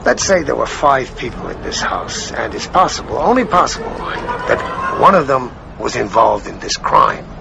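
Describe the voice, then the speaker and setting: Police lieutenant: probing, polite, animated pitch, radio drama with noisy background. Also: male voice, moderate pace, creaky, few pauses. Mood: slyly probing. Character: probing, courteous police lieutenant, slyly indirect.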